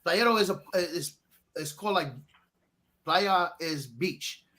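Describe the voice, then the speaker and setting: A high-pitched man with a New York accent chats casually. He explains things in loose street slang and swears freely as he goes. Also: slow pace, sharp tone. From New York, Brooklyn. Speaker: talk participant. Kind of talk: casual chat.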